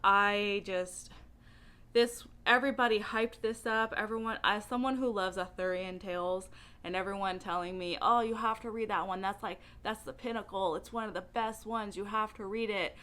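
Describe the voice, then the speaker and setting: Woman, book vlogger, bright voice, animated and emphatic, casual chatty delivery. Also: mid-range voice, moderate pace. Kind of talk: casual book chat to camera.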